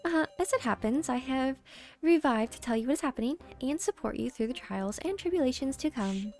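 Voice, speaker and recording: Woman as an eerie, high-voiced polite doll. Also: animated, fairly quick, darkish tone, soft background music. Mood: smug. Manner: courteous, theatrical, giggly. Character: eerie revived talking doll, polite, smug, gleefully unsettling.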